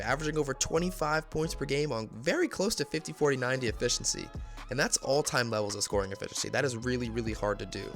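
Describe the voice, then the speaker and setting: A man's voice: mid-range voice, fairly bright tone. A young man with a congested, slightly nasal voice giving casual sports commentary, reeling off player statistics. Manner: stat-heavy, rapid listing of numbers. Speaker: basketball video creator.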